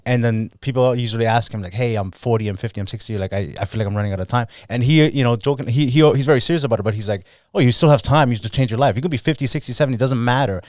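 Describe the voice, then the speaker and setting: A man's voice: medium pitch, very fast, hardly any pauses, darkish tone, dry recording, clean studio sound. A man in his thirties co-hosting a podcast, chatting in an upbeat way and often saying "you know" and "dude". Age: 30s. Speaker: podcast co-host. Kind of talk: casual motivational chat.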